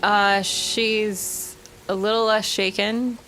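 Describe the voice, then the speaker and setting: A woman's voice: mid-range voice, little pitch movement, slow pace, fairly bright tone, faint music behind, rough recording. A woman chatting casually as a player in a tabletop role-playing game.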